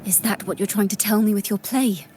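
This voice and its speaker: An actress playing a brooding, resolute young heroine in a video game, speaking with serious intensity. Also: female voice, mid-range voice, fairly even intonation, a little fast, darkish tone, slight background noise, close to an everyday voice. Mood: challenging. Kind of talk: dramatic game voice acting.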